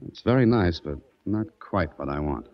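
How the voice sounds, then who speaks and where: A wry, deep-voiced adventurer. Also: male voice, lively intonation, normal speed. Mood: curious, wry. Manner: wry, casual and probing. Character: male adventurer hero, curious and wry. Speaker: narrator and lead. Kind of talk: 1940s radio acting. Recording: dull dry old radio recording.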